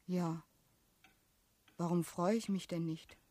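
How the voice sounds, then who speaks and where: An actress playing a wistful, repressed young postal clerk in a radio play, brooding in a half-whispered inner monologue. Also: female voice, fairly low pitch, steady tone, slow, mellow.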